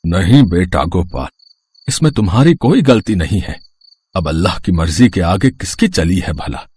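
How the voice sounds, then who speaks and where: A man voicing a gentle, fatherly elderly villager in a story, slow, soothing and resigned. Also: deep voice, very animated, husky, heavily acted. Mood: resigned, consoling. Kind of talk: storybook character acting.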